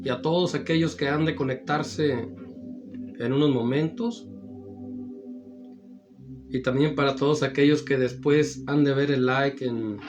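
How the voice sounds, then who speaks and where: A man hosting a gospel program and preaching, slightly hoarse after the flu, repeating his greetings to his brothers and friends. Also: mid-range voice, steady tone. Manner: repetitive addresses, drawn-out phrases. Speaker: evangelical preacher and program host. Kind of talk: slow, warm-toned radio-style greeting and preaching. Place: studio in Pomona, California. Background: background music.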